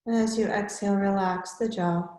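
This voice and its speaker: A woman yoga teacher leading a guided relaxation in measured, instructional short phrases with pauses between them. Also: mid-range voice, steady tone, mellow, reverberant.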